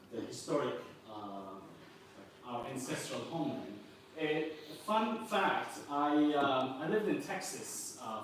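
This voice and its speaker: A man's voice: fairly high pitch, far from the mic, a little hiss or hum. A man from Turkey, a Circassian speaker, giving a slide presentation, hesitating often with fillers. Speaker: guest lecturer. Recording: roomy, off-mic.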